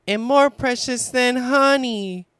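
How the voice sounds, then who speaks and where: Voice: medium pitch, slow pace, a bit bright, smooth, dry recording, voice pushed up. A narrator voicing a small, eager young male kangaroo in a children's storybook.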